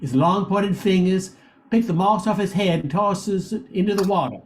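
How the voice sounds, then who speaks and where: A man's voice: high-pitched, normal speed, slight background noise. A man giving scripted narration as the narrator of a radio play. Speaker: professor and narrator.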